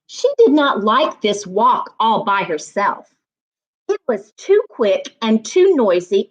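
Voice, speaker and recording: A woman with a fairly high, very lively voice hosts a children's storytime. She takes her time, phrasing each line deliberately and with clear emphasis, like a reading coach guiding young listeners. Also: poor audio. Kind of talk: expressive children's read-aloud and teaching.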